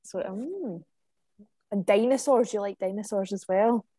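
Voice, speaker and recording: A woman's voice: medium pitch, a little slow, warm tone, no room sound, studio quality. A woman hosting a video call, chatty and a little flustered while sharing her screen. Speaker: host. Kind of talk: casual chat on a video call.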